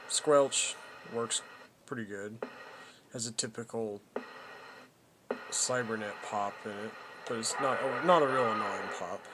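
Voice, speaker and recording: A man giving a casual, unscripted product walkthrough as a hobbyist, haltingly thinking aloud as he goes. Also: on the low side, very noisy. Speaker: hobbyist presenter demonstrating a vintage CB radio.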